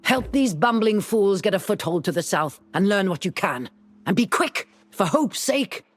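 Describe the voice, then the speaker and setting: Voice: mid-range voice, big pitch swings, moderate pace. An actor playing a grave, scholarly enchanter in a fantasy game, speaking in formal, theatrical, archaic phrases. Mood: urgent, stern. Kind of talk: dramatic scripted fantasy game dialogue and narration. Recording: music behind the voice.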